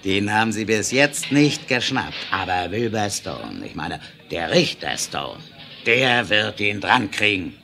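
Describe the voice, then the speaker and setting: An actor playing a reformed Wild West bandit in a 1970s German audio drama, gossiping in a folksy, conspiratorial way. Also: male voice, fairly low pitch, big pitch swings, measured pace, gravelly, pushed and bright, soft background music, exaggerated voice. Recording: noisy old vinyl radio-play recording.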